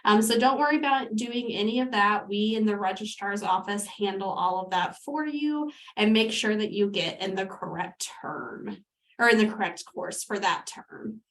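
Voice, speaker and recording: A woman's voice: mid-range voice, normal speed, a bit bright, distant mic, rough recording. A woman with a congested voice giving a friendly orientation presentation over a call through headphones, with frequent ums. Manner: friendly, uses many ums. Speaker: academic advisor.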